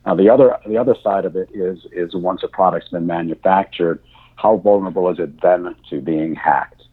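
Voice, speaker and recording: A man whose first language is German, answering interview questions in a halting way with many fillers and restarts. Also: sits low, breathy edge. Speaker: insurance industry guest. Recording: tinny phone line.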